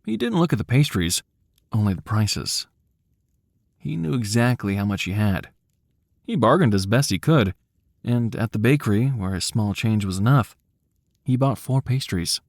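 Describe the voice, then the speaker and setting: A man narrating a scripted story in a cinematic, dramatic style, with a measured, solemn, hushed manner. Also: lowish voice, animated, creaky, no echo, studio quality.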